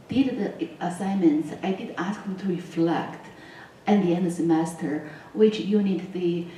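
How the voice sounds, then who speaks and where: A woman's voice: mid-range voice, mellow. A woman professor on a panel, talking with many restarts and fillers. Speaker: panelist, earth science professor. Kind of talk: panel discussion answers. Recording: echoey hall mic from far off, faint noise.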